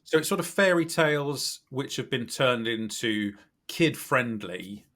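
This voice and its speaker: A British man with a slightly scratchy, croaky voice, chatting casually as a podcast guest in a wry, self-deprecating, animated way. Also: mid-range voice, a little slow. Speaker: podcast guest, recruitment company director. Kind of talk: casual chat.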